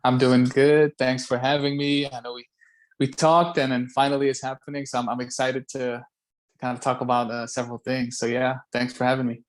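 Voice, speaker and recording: A man's voice: medium pitch, level tone, a little fast. A man in his thirties from Belgium, a guest talking casually over a video call, thoughtful and often repeating words as he searches for them. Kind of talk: casual conversation. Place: home room by a window.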